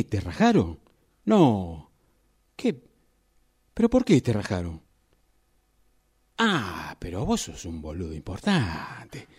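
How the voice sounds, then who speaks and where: A man acts out a crude, gossipy Argentine guy on a radio play phone call. His husky voice is fairly low and very slow, with lively swings in pitch. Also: no echo, full character voice. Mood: incredulous, annoyed. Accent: Argentine Rioplatense. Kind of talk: radio drama phone monologue.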